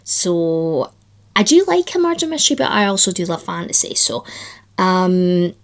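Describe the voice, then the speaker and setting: A woman vlogging about books in a chatty, enthusiastic way, her voice still slightly croaky after the flu. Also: on the low side, very animated, normal speed. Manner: chatty, enthusiastic, lots of fillers. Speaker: book vlogger. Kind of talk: casual chat to camera.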